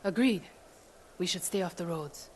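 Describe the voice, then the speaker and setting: A woman's voice: on the low side, moderate pace, a little mellow, slight background noise, close to an everyday voice. An actress playing a proud, cool renegade woman archer in a video game, with a dry, clipped, self-assured delivery. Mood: defiant. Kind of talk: dramatic video game acting.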